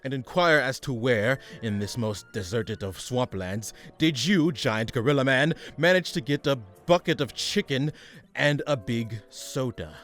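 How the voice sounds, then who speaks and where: Guy doing pompous villain boss, fed up, mid-pitched, faint music, radio comedy. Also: lively intonation, unhurried, a bit bright. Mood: condescending. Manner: pompous, exasperated. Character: exasperated, condescending bald supervillain mastermind. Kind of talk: comic radio play acting.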